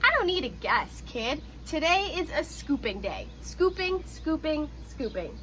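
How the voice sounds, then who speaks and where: Storybook casting: woman playing no-time-for-games work truck, brisk, emphatic sing-song that swoops from high to low. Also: sing-song, bright, forceful tone, full character voice. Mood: brisk, dismissive. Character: busy, dismissive grown-up construction truck. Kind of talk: children's storybook read-aloud.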